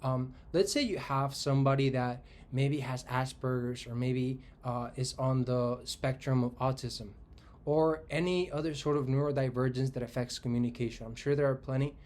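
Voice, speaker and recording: A man in his twenties, originally from Spain, talks alone in an easy conversational monologue, working out his argument as he speaks. He doubles back on his words and keeps checking in with "right?", and his voice sits at an ordinary middle pitch for a man. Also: fairly even intonation, moderate pace, darkish tone. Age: 20s. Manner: thinking aloud, frequent restarts and "right?" tags. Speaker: tech commentator. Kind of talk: casual solo monologue.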